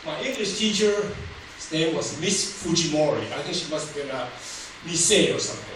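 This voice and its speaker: An older man from Taiwan, Taiwanese his first language, tells his life story in a speech and laughs at his own jokes. His voice sits fairly high. He is heard from far back in a very echoey hall, with steady noise behind him. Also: brisk. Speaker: public speaker. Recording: roomy hall from far off, noisy.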